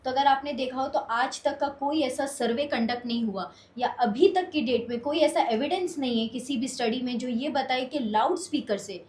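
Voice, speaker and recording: A woman's voice: high-pitched, moderate pace, few pauses, a little mellow. A woman advocate explaining her case formally in an interview, mixing in English legal terms, recorded in an echoey room. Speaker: petitioner's advocate being interviewed.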